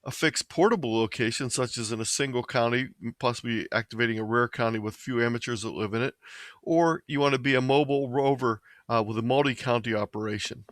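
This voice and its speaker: Man, American accent, medium pitch, methodical tutorial delivery, plain wording, dry recording. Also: fast talker, dry recording. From Ohio, United States. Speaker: presenter of an amateur radio tutorial. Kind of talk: instructional slideshow presentation.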